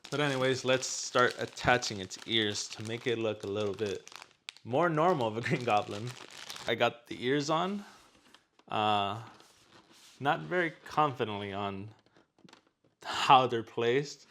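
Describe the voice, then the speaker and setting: A man's voice: mid-range voice, measured pace, pauses often, a bit bright, slight background noise. A man chatting casually as he hosts an unboxing and product review.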